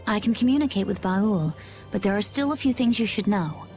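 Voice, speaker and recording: Actress doing a calm mysterious warrior girl from an anime game, measured, middle voice, soft music under. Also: medium pitch, close to an everyday voice. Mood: calm, matter-of-fact. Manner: measured, composed. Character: composed, enigmatic young woman warrior with quiet resolve.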